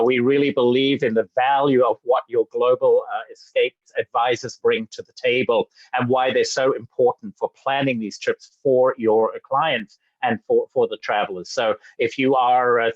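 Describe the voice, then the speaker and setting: A man from Zambia giving an upbeat sales presentation, talking eagerly with frequent fillers and quick restarts. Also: mid-range voice, dry recording, lo-fi sound. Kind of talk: enthusiastic webinar presentation.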